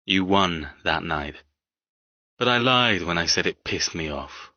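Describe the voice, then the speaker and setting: A man playing a cold, arrogant, seductive captor in an audiobook, speaking in a deliberate, taunting manner. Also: lively intonation, bright, forceful tone, dry recording, lo-fi sound, full character voice. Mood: seductive, controlled. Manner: low, deliberate, controlled, taunting. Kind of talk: audiobook character dialogue.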